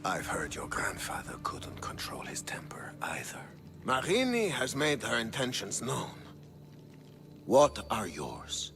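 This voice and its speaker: Stern, hot-tempered Spartan king, forceful gravel, animated pitch, video game cutscene. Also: a little slow, whispered, heavily acted. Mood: scornful. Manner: commanding, scornful. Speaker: game voice actor. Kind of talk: dramatic video game acting.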